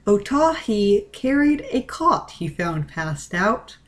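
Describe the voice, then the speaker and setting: A woman reading a story aloud as dramatic, deliberate narration. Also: medium pitch, big pitch swings. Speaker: reader of a fan-fiction story.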